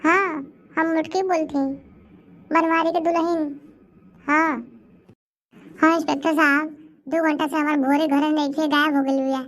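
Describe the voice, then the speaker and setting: A woman's voice: sits high, little pitch movement, a bit bright, slight background noise. A woman voicing a doting, dramatic village housewife in a comedy cartoon, with a Bhojpuri accent and a plaintive, lamenting manner. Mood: anxious pleading. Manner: melodramatic, wailing, drawn-out lamenting. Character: dramatic, doting village housewife grieving her lost buffalo.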